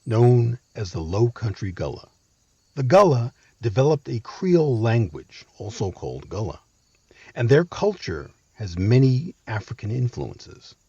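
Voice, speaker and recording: A man's voice: fairly low pitch, dark tone, breathy edge, no echo, muffled recording. A man narrating a scripted history story with emphatic, dramatic phrasing, as the host of the show.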